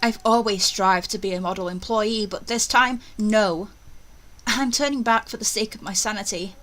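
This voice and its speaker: A woman's voice: medium pitch, normal speed, fairly bright tone, natural voice. A woman voicing an anxious, superstitious young estate agent in a horror visual novel, with a dramatic, uneasy manner. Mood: exasperated, scared. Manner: dramatic, uneasy inner monologue. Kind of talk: visual novel voice-over reading.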